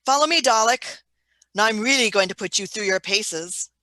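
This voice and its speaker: A woman's voice: medium pitch, normal speed, sharp tone, no room sound, natural voice. A woman playing an eager, obsessive scientist in a scripted radio play, theatrical and defensive. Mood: excited. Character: excitable, obsessive scientist, defensive about the experiment.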